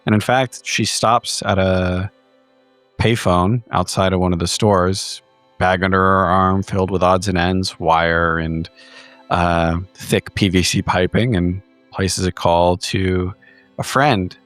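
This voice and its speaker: Low-pitched man, tabletop horror player, narrating in measured descriptive phrases, close dry sound. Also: fairly even intonation, fairly bright tone, no room sound, soft background music.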